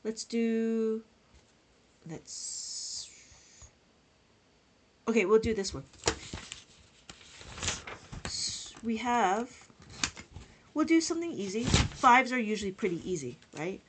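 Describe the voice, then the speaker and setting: A woman's voice: a little high, lively intonation, slow pace, pauses often, background noise. A woman teaching abacus math on a live stream, chatting with viewers, greeting them by name and counting numbers aloud.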